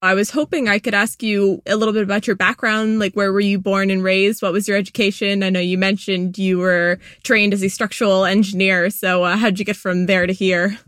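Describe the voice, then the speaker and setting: A woman host talks in a breezy, informal way, moving between scripted narration and casual interview questions. Her pitch sits in the middle of the usual range. The recording is dry and close, with no room around her. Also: flat delivery, a little fast, hardly any pauses, fairly bright tone, smooth, no room sound.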